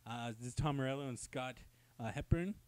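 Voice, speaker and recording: A man's voice: medium pitch, brisk. A man hosting a comic book review in casual, jokey chat with irreverent, crude slang.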